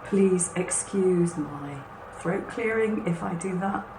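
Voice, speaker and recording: Hoarse low-voiced woman demonstrating a cutter. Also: takes it slowly. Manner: chatty and reassuring, explains step by step. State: hoarse and husky after a cough. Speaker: craft channel host. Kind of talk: hands-on product walkthrough. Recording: echoey room with faint background noise.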